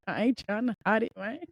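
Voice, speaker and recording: A woman's voice: on the high side, moderate pace, breathy edge, no room sound. A woman in her late twenties hosting a solo podcast, talking casually and emphatically with lots of repetition, like a motivational pep talk. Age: 20s. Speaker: podcast host.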